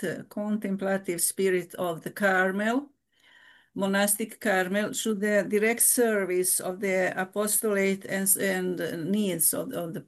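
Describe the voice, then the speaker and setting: Woman, older, nun, halting, many restarts, frequent laughs. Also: mid-range voice. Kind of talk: casual interview.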